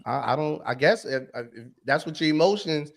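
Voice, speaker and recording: A man's voice: a little high, expressive, moderate pace, vocal fry. A man from New York, a podcast co-host, talking bluntly in casual debate, full of slang and repeating himself.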